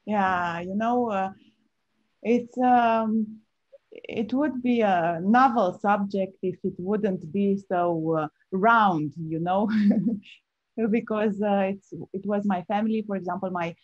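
Woman in her forties, very unhurried and hesitant, English, interview guest, slightly muffled call audio. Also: medium pitch, darkish tone. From Romania. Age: 40s. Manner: hesitant, halting non-native English. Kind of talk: conversational interview answers. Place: indoor room with bookshelves, on a video call. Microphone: video call.

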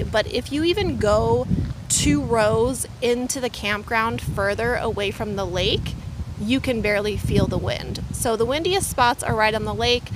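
A fairly high-voiced woman talks casually and eagerly, full of "um" and "like", as she walks a lakeside campground with a handheld camera. Heavy wind noise roars across the recording outdoors. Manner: enthusiastic, chatty, many fillers. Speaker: van life vlogger. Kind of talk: casual campground tour vlog.

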